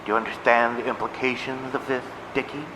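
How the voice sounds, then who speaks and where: A man's voice: medium pitch, level tone, a little fast, lightly acted. Guy doing nerdy earnest science sidekick, precise and dramatic, lots of reverb, some noise behind. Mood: dramatic, urgent. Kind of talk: audio drama dialogue. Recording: reverberant, noisy background.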